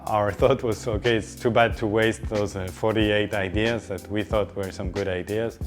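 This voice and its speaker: A middle-aged man from northern France with a French accent, a chef speaking informally in an interview in a halting, explanatory way with pauses mid-phrase. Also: on the low side, fairly even intonation, faint music behind.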